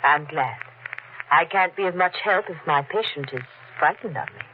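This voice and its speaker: An actress playing a sinister, falsely soothing nurse in a 1940s radio mystery, coaxing with quiet menace. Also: female voice, sits low, moderate pace, natural voice. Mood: smooth, coaxing. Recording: noisy, muffled 1940s radio recording.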